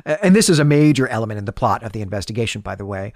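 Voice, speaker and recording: A man's voice: on the low side, animated, very fast, darkish tone, no echo, clean studio sound. A man hosting a podcast, delivering an analytical, explanatory monologue in the style of literary commentary. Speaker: podcast host.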